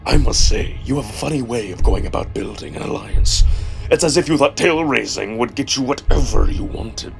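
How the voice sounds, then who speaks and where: A man acts out an old wolf lord from a visual novel, arrogant and menacing. He snarls slowly and deliberately, full of contempt, with music underneath. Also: medium pitch, lively intonation, gravelly. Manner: slow, deliberate, snarling delivery.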